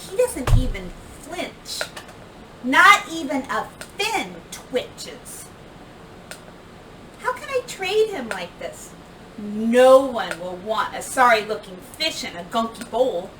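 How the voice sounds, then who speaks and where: A woman reading a children's picture book aloud like a teacher, with expressive, emphatic delivery that acts out the words. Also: a little high. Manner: animated, emphatic delivery with acted-out dialogue and sound words. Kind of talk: expressive storybook read-aloud. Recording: roomy, distant microphone, clear background noise.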